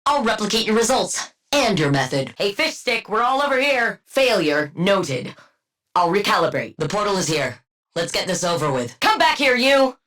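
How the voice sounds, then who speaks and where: A haughty woman scientist turned game supervillain. Her diction is crisp and clinical, and her mid-range voice swings widely in pitch as she delivers dry, condescending combat barks. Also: very animated, normal speed, a bit bright. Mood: sardonic, commanding, then urgent, alarmed. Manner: clinical, condescending, dryly witty. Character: cool, haughty, sardonic female scientist supervillain.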